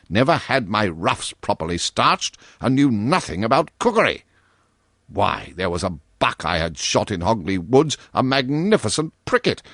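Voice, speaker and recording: A man's voice: mid-range voice, gravelly, a bit bright, dry recording, studio quality, voice pushed up, heavily acted. A man voicing a petulant, pompous old aristocratic ghost in a storybook reading, haughty and self-pitying.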